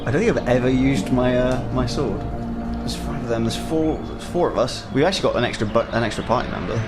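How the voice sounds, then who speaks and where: A man's voice: mid-range voice, fast talker. A man playing a tabletop game with casual, dry, sarcastic banter and role-play, music behind him.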